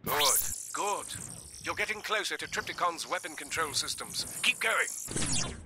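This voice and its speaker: An actor voicing a fussy, precise robot scientist in a video game, speaking in a formal, wordy, clinical manner. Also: male voice, a little high, animated, unhurried, bright, forceful tone, slight background noise, exaggerated voice. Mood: precise, composed. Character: fussy, precise male robot scientist, calm mission adviser.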